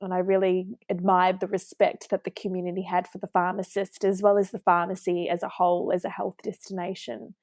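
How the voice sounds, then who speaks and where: A woman's voice: lowish voice, little pitch movement, brisk, hardly any pauses, a little mellow, dry recording. A woman in her twenties from New Zealand, a pharmacist guest on a podcast, telling her story in a friendly way with some filler words. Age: 20s. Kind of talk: podcast interview.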